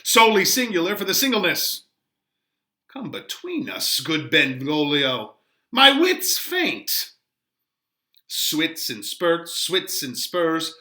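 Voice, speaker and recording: A man reading dramatically as witty, boisterous young gentlemen trading teasing, emphatic Shakespearean banter. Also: on the high side, huge pitch swings, slow, full character voice. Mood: playful, boastful.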